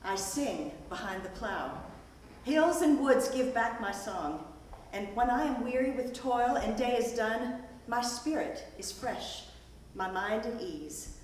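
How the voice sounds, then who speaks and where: A woman's voice: on the high side, lively intonation, slow pace. A woman playing a gracious 1920s folk school figure, formally reciting on stage in short declaimed phrases. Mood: gracious, reverent. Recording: distant echoey hall, faint noise.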